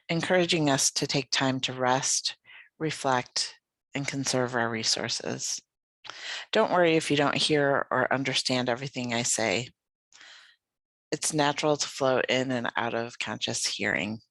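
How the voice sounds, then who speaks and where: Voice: mid-range voice, no echo. Soothing guided meditation voice, close and dry. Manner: gentle, soothing, unhurried instruction. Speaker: yoga nidra guide.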